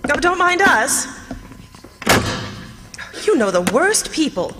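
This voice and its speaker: A woman's voice: high-pitched, big pitch swings, takes it slowly, very noisy, full character voice. An actress playing an anxious, curious lady companion in old-time radio mystery style, with a dramatic, ladylike manner. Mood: polite. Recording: long hall-like reverb on the voice.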